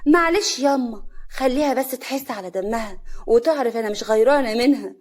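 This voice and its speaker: Woman acting a high, sly, spiteful Upper Egyptian sister-in-law. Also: a little fast, natural voice. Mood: haughty. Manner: sly, taunting.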